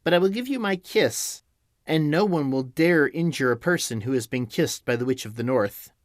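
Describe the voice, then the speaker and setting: A kind little old witch from a storybook, speaking gently and tenderly, as a male reader puts her on. The voice is lifted high above his own, with a lively, rising lilt. It is recorded close and dry. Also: normal speed, no room sound, natural voice. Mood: gentle. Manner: gentle, motherly. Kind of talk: audiobook character voice.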